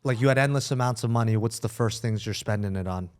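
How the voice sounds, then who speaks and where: A man in a relaxed group podcast conversation, talking casually and colloquially. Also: fairly low pitch, fast. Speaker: podcast participant. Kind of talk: casual chat.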